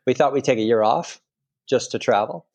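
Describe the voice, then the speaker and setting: A middle-aged man from Canada speaking as a guest author, relaxed and rambling, often restarting his phrases. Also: medium pitch, fairly quick, vocal fry. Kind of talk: interview conversation.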